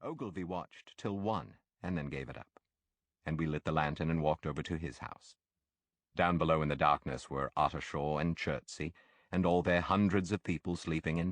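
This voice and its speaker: A man with an extremely deep voice narrates classic fiction in a dry, echo-free recording. His delivery is measured and expressive, in the manner of a practised audiobook storyteller reading scripted literary prose.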